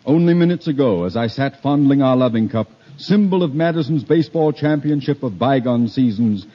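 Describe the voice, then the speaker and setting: An actor playing a pompous, blustering middle-aged high school principal with theatrical, orotund speech in a 1950s radio comedy. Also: male voice, husky, lightly acted. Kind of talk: 1950s radio sitcom acting. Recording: dull, hissy old 1950 radio broadcast.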